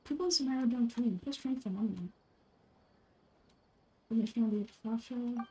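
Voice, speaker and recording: A woman's voice: on the high side, a little fast, dark tone, slight background noise, poor audio. A woman reading scripted video game dialogue aloud as an amateur voice-over performer.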